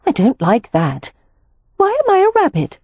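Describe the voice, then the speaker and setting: Woman playing amused young older sister, light and teasing, lively pitch, dry sound. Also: moderate pace, no room sound, full character voice. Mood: put out.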